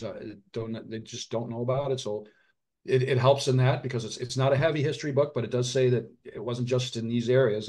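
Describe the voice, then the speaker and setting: A middle-aged man, an author and physician, speaking earnestly in an interview, often restarting his sentences and dropping quiet at heavy moments. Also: medium pitch. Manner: earnest, halting, frequent restarts and fillers. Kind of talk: reflective interview conversation.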